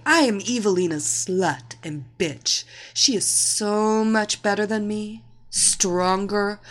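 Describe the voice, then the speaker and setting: A woman's voice: medium pitch, fairly bright tone. A woman voicing a cruel, domineering female fighter in a story, mocking, commanding and gloating. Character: domineering blonde female kickboxer, cruel and taunting. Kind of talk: dramatised story reading.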